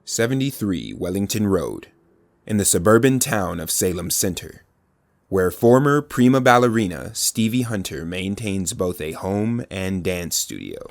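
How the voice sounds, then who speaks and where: A man as a dramatic storyteller narrating a superhero audio drama. His low, gravelly voice rises and falls with lively intonation. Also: a little slow, a little hiss or hum, full character voice.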